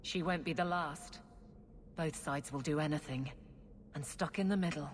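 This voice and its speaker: Actress as a low-voiced woman guard captain. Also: moderate pace, a little hiss or hum, close to an everyday voice. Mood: grim. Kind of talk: video game voice acting.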